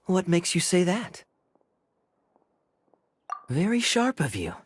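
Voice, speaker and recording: An actor playing a sly, suave young knight captain in a video game, talking in a wry, teasing, drawling way. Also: male voice, sits high, wildly swooping pitch, slow, slight background noise, heavily acted. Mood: wry, storytelling. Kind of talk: video game character acting.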